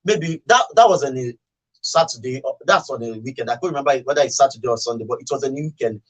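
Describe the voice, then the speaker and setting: A man's voice: mid-range voice, fast, low-quality recording. A man with a Nigerian accent in a casual, opinionated radio discussion, telling stories and acting out what people say. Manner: animated storytelling, acting out quoted remarks. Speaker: radio talk show speaker.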